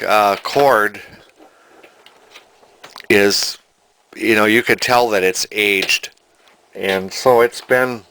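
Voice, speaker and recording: Low-voiced, folksy man. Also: sharp tone, slight background noise. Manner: deliberate, folksy, plainspoken. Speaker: antique radio collector and restorer. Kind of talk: casual unscripted video narration.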